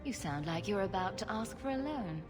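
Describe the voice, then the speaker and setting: A woman's voice: mid-range voice, expressive, moderate pace, close to an everyday voice. An actress playing a confident, sarcastic female rogue in a video game, with a dry, teasing way of talking. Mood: wry, teasing. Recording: reverberant hall, music underneath.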